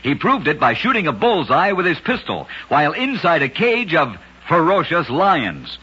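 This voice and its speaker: Husky-voiced man narrating vintage radio oddities. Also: on the high side, sing-song, pushed and bright, muffled recording. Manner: brisk, emphatic storytelling with punchy catchphrase endings. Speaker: radio host and storyteller. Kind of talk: scripted old-time radio narration.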